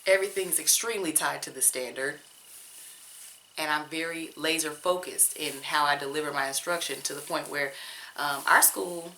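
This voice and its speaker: A young woman from Georgia speaks with a Southern accent, her voice low-pitched but bright and forward. She chats candidly and casually to her viewers as she works through her hair at home. A faint ambient hiss sits under the camera-mic sound. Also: rough recording. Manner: candid, chatty, talks straight to viewers with casual slang. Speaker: teacher and vlogger. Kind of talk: casual chatty vlog while detangling hair.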